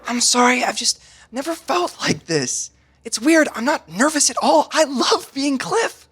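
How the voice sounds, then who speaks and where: A man's voice: very high-pitched, sing-song, measured pace, a bit bright, a little hiss or hum, heavily acted. An awkward but overconfident husband in an audio sitcom. He is earnest and eager, with a breezy way of bluffing. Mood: excited. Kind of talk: sitcom podcast acting.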